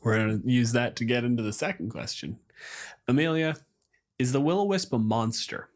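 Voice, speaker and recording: A man's voice: mid-range voice, moderate pace, faint music behind. A man hosting a podcast, talking informally and explaining things in a casual panel discussion. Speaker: podcast host.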